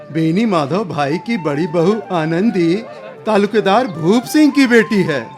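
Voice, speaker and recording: A man playing an assured, kindly middle-aged village uncle in a Hindi radio drama, measured and reassuring. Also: sits high.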